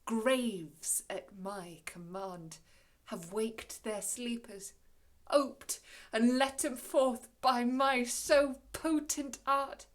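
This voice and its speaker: A woman acting an aging, commanding sorcerer in a classical Shakespearean stage monologue, with measured, dramatic delivery and long pauses. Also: a little high, steady tone. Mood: commanding, forceful.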